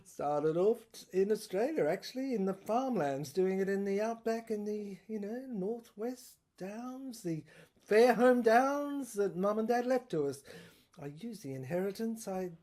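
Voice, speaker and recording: A woman's voice: medium pitch, lively intonation, a little slow, natural voice. A woman playing a ranting, scheming, power-mad brother and corrupt prosecutor in an audio drama, with a theatrical, manic, rambling delivery. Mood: confessing, sly. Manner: theatrical, manic rambling, swinging from shouting to muttering.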